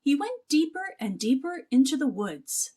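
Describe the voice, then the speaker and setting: A woman narrating a children's picture book aloud as an expressive, animated storyteller. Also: high-pitched, a little slow.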